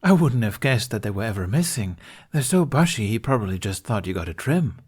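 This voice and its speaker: A man's voice: on the low side, big pitch swings, fairly quick, a little mellow, husky. Man acting as young man, playful, cheeky, eager, audiobook character. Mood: excited, teasing.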